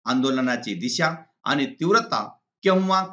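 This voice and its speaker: Bright-voiced Maharashtrian man teaching, animated pitch. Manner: deliberate, didactic, enumerating point by point. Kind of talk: exam answer walkthrough, lesson read aloud. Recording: slightly dull lo-fi recording.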